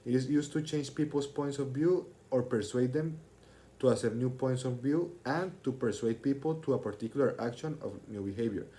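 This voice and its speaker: Mellow-voiced young man giving a class speech. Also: mid-range voice, normal speed, slight background noise. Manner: formal, expository, reading from prepared text. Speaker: student speaker.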